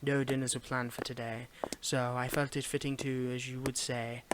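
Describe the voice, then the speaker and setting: Man playing a soft-spoken courteous Victorian footman, noisy recording. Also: medium pitch, level tone, close to an everyday voice. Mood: polite, chatty. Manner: formal old-fashioned phrasing, gentle, halting, lapsing into whispers. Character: courteous, flirtatious Victorian footman, weary, gentlemanly. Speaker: audio roleplay performer. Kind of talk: intimate ASMR roleplay acting.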